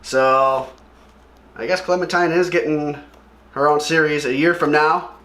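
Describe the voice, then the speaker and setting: A man's voice: on the high side, steady tone, a little slow, fairly bright tone. A man hosting a comic read-along with casual, offhand and opinionated commentary as he describes what he sees. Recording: echoey room.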